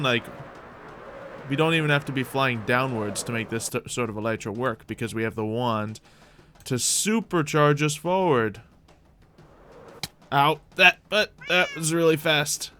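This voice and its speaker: A man's voice: mid-range voice, pushed and bright. A man doing live gaming stream commentary with playful roleplay, rambling along in a playful, mock-grandiose way. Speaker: game streamer.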